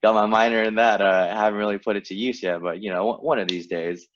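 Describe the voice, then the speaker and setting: Male co-host, late 20s, deep voice, relaxed storyteller with lots of "uh"s, muffled podcast audio. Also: fast. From Vietnam, raised in California. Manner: laid-back, laughs often, lots of fillers. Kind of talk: casual chat. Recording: dull lo-fi sound.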